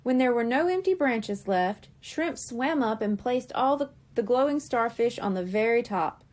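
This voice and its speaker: A woman reading a children's storybook aloud with expressive, lively storytelling. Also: on the high side, normal speed. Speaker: children's book reader.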